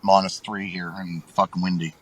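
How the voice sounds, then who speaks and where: A man's voice: deep voice, flat delivery, moderate pace, fairly bright tone, breathy edge. A blunt man who swears often, chatting on a podcast from a parked vehicle. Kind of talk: casual podcast chat.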